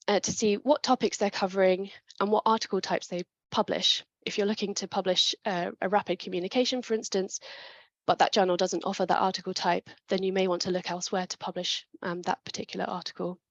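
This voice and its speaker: A woman presenting a webinar talk on writing papers, clear and methodical, with a chest infection coming on. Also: medium pitch, dry recording, highs cut off. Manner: clear, methodical, with small restarts.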